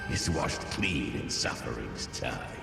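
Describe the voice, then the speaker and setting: An actor playing a creepy preacher-like man in a video game, speaking in cryptic, solemn pronouncements with false gentleness. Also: rumbling low, lively intonation, slow, gravelly, heavily acted. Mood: ominous, solemn. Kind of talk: theatrical video game acting. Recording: echoing hall reverb, loud music.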